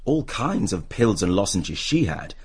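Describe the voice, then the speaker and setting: An actor playing a deferential, old-fashioned small-town chemist in a radio drama, formal and husky. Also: male voice, fairly low pitch, big pitch swings, measured pace, a little mellow, full character voice. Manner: formal, deferential sales patter. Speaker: shop chemist.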